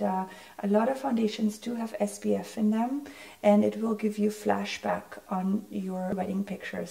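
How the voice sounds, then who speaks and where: Hoarse, gravelly woman losing her voice. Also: mid-range voice, dark tone, poor audio. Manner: chatty, friendly, explains as she works. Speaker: makeup artist. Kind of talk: makeup tutorial.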